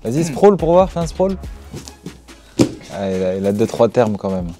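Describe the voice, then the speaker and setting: A man's voice: fairly low pitch, very animated, moderate pace, over music. A man hosting a casual panel and teasing fighters as they show their moves. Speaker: panel host judging fighters. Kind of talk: casual banter among friends.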